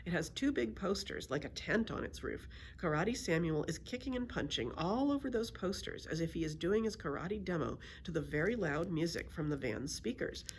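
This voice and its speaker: A woman reading a children's story aloud in an expressive storytelling style. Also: lowish voice, normal speed, hardly any pauses, slight background noise. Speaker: library story reader.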